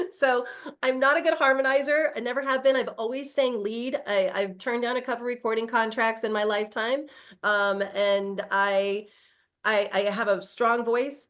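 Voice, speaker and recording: A woman's voice: mid-range voice, fairly bright tone. A woman telling a heartfelt personal story over a video call, rambling, with many fillers and restarts. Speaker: guest singer.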